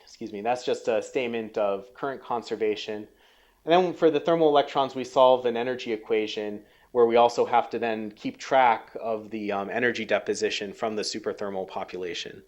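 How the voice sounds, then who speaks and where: A man giving a technical research lecture, halting and full of ums and restarts, his voice a little worn. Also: lowish voice, lo-fi sound. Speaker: scientist giving a research talk.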